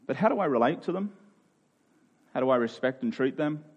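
A man in his forties preaching a sermon, earnest and emphatic, sometimes dropping to a quiet voice. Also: medium pitch, animated, fairly quick, husky, highs cut off. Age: 40s. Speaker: pastor.

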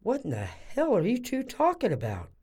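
A woman playing a blunt, grumbling gambler, husky and dismissive, in an audio drama. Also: a little high, wildly swooping pitch, normal speed, warm tone, slight background noise.

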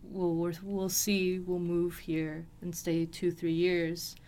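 A woman in a fairly low, very even voice. She tells a personal story as an interview guest, casually and with some hesitation, finding her words as she goes. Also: slow pace. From Los Angeles, USA. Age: 20s. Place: recording studio.

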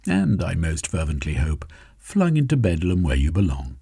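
Man as a determined young male hero, quick and husky. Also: low voice, huge pitch swings, warm tone, dry recording, studio quality, heavily acted.

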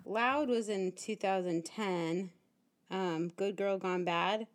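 A woman's voice: mid-range voice, slow pace. A woman co-hosting a film podcast, reacting with short interjections and curious asides in casual chat. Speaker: podcast co-host.

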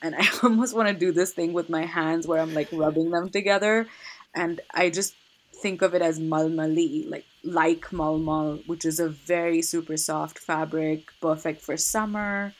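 A woman's voice: low voice, fairly even intonation, moderate pace. A woman in a casual conversation, thinking aloud with frequent restarts and light laughter.

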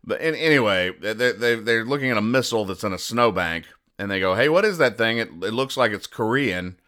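A man hosting a comedy podcast, reading out comic strips and explaining them in a deadpan, over-literal way. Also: on the low side, fairly quick. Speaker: podcast host.

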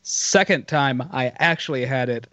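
A man co-hosting a casual podcast, hoarse and raspy after being sick, telling stories in a rambling, self-interrupting way. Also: mid-range voice, moderate pace, a bit bright, dry recording. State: hoarse, recovering from illness. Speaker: podcast co-host. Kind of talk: casual banter.

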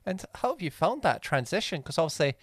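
A man's voice: a little high, big pitch swings, a little fast, fairly bright tone, dry recording, studio quality. A man co-hosting a business podcast, asking questions politely and hesitantly, often repeating words. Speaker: podcast co-host. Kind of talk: interview questions.

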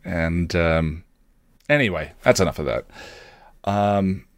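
A man hosting a solo podcast, talking casually and reflectively in a halting, self-questioning way. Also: sits low, no echo, studio quality. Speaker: podcast host and video creator.

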